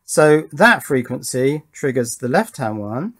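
A middle-aged man talks casually through a hands-on test at a workbench. His voice sits at a medium pitch. He thinks aloud in an informal, self-deprecating manner, as a hobbyist presenter would. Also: sing-song, measured pace, noisy background. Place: indoors at an electronics workbench.

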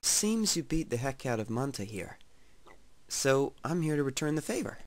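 A woman playing a laid-back, quietly confident teenage schoolboy in an anime fan dub, nonchalant and unhurried. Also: very deep voice, very animated. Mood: cool, unbothered.

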